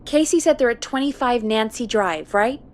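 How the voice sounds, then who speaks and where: An actress playing a frank, practical radio producer in a video game, talking in a matter-of-fact, slightly wry way. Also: female voice, on the high side, lively intonation, moderate pace, close to an everyday voice. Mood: earnest, practical. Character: practical, frank woman radio producer in 1980s small town. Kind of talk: video game voice acting, conversational.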